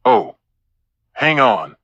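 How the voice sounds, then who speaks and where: A man's voice: mid-range voice, big pitch swings, extremely slow, fairly bright tone, husky, no echo, muffled recording, heavily acted. A man playing an oblivious, leering convenience store clerk in an audio play, casual and overfamiliar. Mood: casual, unbothered.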